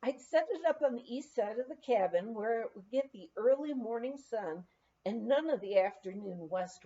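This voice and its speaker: Woman, audiobook reader, expressive storytelling, mellow soft-edged tone, animated swooping intonation, slightly muffled lo-fi sound. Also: fairly high pitch. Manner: animated storytelling with acted dialogue. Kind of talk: expressive reading of a humorous memoir.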